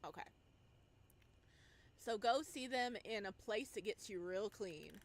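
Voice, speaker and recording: A woman doing playful, expressive gameplay commentary, slipping between reading text aloud and exclaiming in singsong asides. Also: mid-range voice, unhurried. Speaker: game streamer.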